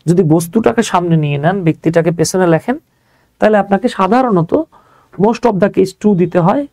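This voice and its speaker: Mellow higher-voiced Bangladeshi male teacher. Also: brisk, no room sound. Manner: conversational, checks on learners with rhetorical questions. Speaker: English grammar teacher. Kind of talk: informal grammar lesson, explaining step by step.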